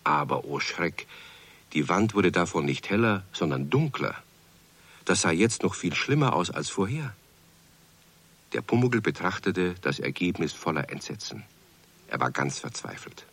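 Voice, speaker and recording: A man's voice: low-pitched, expressive, unhurried, a bit bright, breathy edge, heavily acted. A man narrating a children's radio play in a scripted storybook style.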